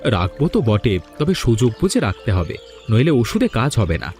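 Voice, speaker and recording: A man's voice: medium pitch, sing-song, normal speed, a little mellow, natural voice. A man voicing an anxious, scheming village man in a cartoon fairy tale. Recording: background music under dialogue.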